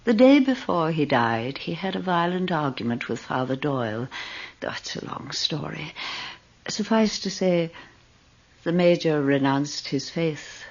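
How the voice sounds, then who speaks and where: Husky, genteel old widow. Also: female voice, deep voice, expressive, heavily acted. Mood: wry, insinuating. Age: older. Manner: genteel, deliberate, insinuating. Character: old wealthy self-serving widow, genteel and eerie. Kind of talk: radio drama acting. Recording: dull lo-fi radio recording.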